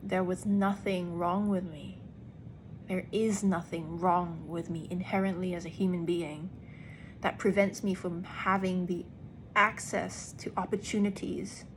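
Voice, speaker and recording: A woman actor speaking in an earnest, deliberate personal monologue with emphatic pauses. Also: on the low side, flat delivery, slight background noise, low-quality recording. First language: English. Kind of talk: reflective personal vlog monologue.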